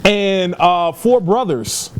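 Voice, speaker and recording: A man's voice: sits high, slow pace, a little hiss or hum. A man chatting casually and giving his opinions as a podcast host. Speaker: podcast host reviewing films and games. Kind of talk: casual chat.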